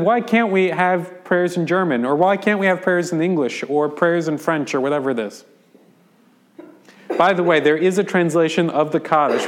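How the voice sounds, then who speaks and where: An American rabbi speaks in a slightly higher than usual male voice. He lectures informally, stopping for ums and restarts as he explains. He sounds conversational, and he answers questions from his listeners. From United States. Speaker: rabbi teaching a class.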